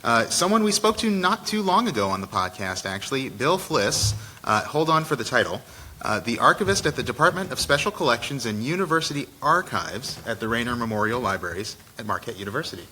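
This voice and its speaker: A man's voice: medium pitch, sing-song, a little fast, sharp tone. A man co-hosting a live podcast at an event, chatting casually and introducing guests. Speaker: podcast co-host. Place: indoor conference event. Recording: noisy live event room.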